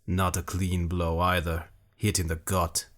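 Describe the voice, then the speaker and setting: A man doing a gruff, low-growling dying warrior. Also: little pitch movement, unhurried, fairly bright tone. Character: gruff dying male warrior, coarse and blunt.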